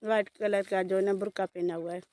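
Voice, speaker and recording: A woman with a Pakistani accent and a hoarse, heavy voice, narrating her village vlog in a chatty, repetitive way. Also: mid-range voice, steady tone, brisk, a little mellow. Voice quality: heavy, croaky voice. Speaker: family vlogger. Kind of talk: casual vlog voice-over.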